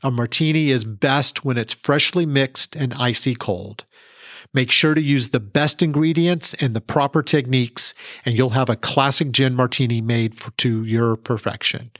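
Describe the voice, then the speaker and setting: A man's voice: medium pitch, moderate pace, fairly bright tone, no echo. Dry-sounding man giving step-by-step instructions. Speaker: podcast cocktail segment reporter. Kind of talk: instructional scripted narration.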